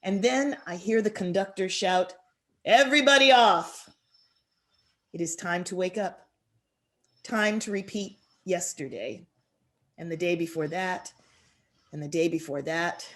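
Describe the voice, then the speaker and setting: Female poet, slightly low voice, unhurried and expressive, measured reading style. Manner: measured, deliberate, expressive delivery. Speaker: author reading her poetry. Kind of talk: poetry reading with introduction.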